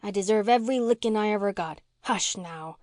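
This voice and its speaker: A woman voicing a scrappy orphan girl who talks in a rough, blunt country dialect. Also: medium pitch, brisk, a little mellow, dry recording, studio quality, close to an everyday voice. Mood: remorseful.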